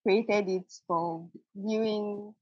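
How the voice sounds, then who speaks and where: A woman on a video call about starting a baking show, hesitant and nervous, stammering and laughing softly. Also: medium pitch, steady tone, very slow, breathy edge, dry recording. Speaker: caller, aspiring baker. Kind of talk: coaching call. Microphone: video call, audio only. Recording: dull lo-fi call audio.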